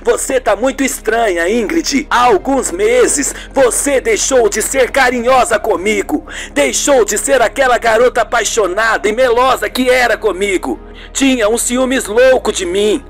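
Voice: mid-range voice, measured pace, natural voice. A performer voicing a suspicious, wounded husband who nags with irony and reproach in an amateur radio soap. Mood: reproachful. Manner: ironic, reproachful. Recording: music bed under the voice.

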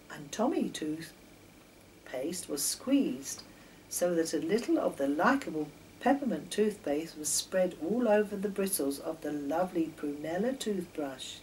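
A woman's voice: lowish voice, a little mellow. A woman in her sixties reading a children's story aloud expressively, stumbling slightly now and then. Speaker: author reading her own children's stories. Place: home kitchen, indoors. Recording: distant mic, noticeable background noise.

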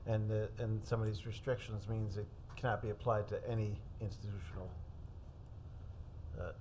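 A man's voice: fairly low pitch, fairly even intonation, moderate pace, dark tone. An older man chairing a planning board meeting in a town hall room, easygoing and conversational. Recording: noisy room ambience.

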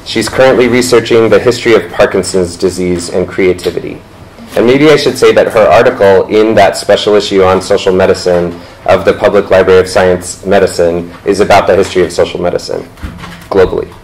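A man's voice: low voice, fairly even intonation, fairly quick, darkish tone, slight background noise, poor audio. A man in his thirties, the moderator at a talk, reading panelist introductions from notes and sometimes stumbling over words. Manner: reads from notes, stumbles and self-corrects. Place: lecture room, indoors.

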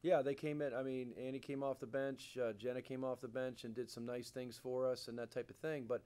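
A middle-aged man speaks as a basketball head coach at a post-game press conference. His pitch is medium and stays fairly flat as he answers. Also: fairly quick, clear, resonant tone. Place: indoor press conference room, seated at table.

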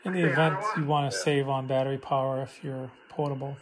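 A man's voice: medium pitch, flat delivery, a little fast. A man giving a casual hands-on demonstration of a gadget as a hobbyist. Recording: noisy, radio static in room. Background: shortwave radio static and chatter.

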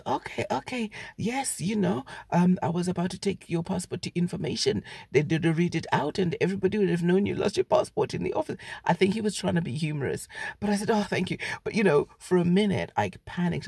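A middle-aged woman vlogging from a parked car into a phone held at arm's length, telling a travel story in a lively way and jumping her pitch to act out the people in it. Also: vocal fry, slightly rough, no room sound. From Britain. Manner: animated, shifts pitch a lot to act out her own voice and her sister's. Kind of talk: casual storytelling to camera.

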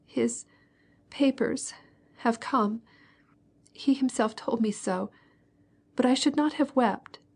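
A heartbroken young girl, tearful and anxious, as a woman reader puts her on. Her voice sits high and breathy, often sinking to a trembling whisper. The sound is soft and husky rather than crisp. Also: slow, pauses often, exaggerated voice.

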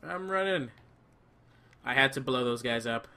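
A man with a bright, forceful voice talks through a co-op video game. He speaks casually, with a joking, rambling manner, like a player talking to a teammate. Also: medium pitch, expressive, measured pace, smooth. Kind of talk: casual co-op gameplay chat.

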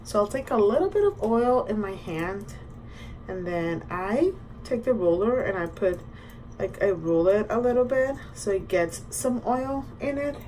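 Slow-talking bilingual woman. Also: medium pitch. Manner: relaxed, switches between Spanish and English. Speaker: vlogger. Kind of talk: casual bilingual vlog, narrating a skincare routine. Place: small indoor bathroom. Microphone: camera or phone at about arm's length. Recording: clearly noisy home bathroom recording.

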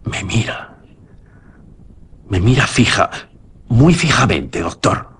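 A husky, haunted, nervous man. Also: fairly low pitch, sing-song, slow, a bit bright, heavily acted. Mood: haunted, insistent. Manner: hesitant, stammering. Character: nervous, haunted man tormented by hallucinations, evasive. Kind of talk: Spanish radio suspense drama acting.

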